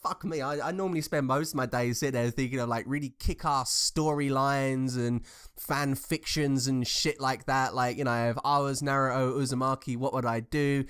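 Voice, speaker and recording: A man's voice: mid-range voice, steady tone, moderate pace, fairly bright tone, clear, resonant tone. A young man from England with a southern English accent, giving casual ranting commentary in an informal, sarcastic, sweary way. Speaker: commentator reacting to social media posts.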